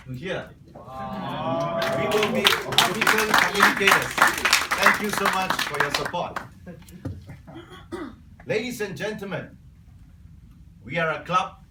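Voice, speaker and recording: A man's voice: on the high side, pushed and bright, recorded from a distance, slight background noise. Earnest man speaking in an echoey room. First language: Cantonese. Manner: earnest, clears throat often. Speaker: incoming club membership officer. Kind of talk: prepared speech to a club meeting. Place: club meeting room.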